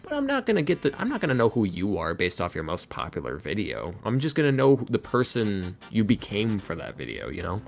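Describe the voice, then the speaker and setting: A man's voice: lowish voice, huge pitch swings, brisk, creaky, few pauses, faint music behind. A man chatting informally as a podcast guest, telling anecdotes and acting out the quotes in them. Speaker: podcast guest, voice actor and ASMR creator. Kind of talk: casual chat, storytelling.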